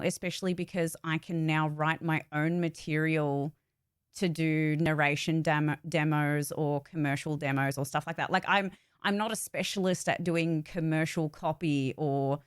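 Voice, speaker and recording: A woman's voice: low-pitched, steady tone, normal speed, clean tone, no room sound, clean studio sound. A woman chatting casually and informally on a livestream, rambling a little as she gives updates. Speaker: voice actor and streamer giving updates.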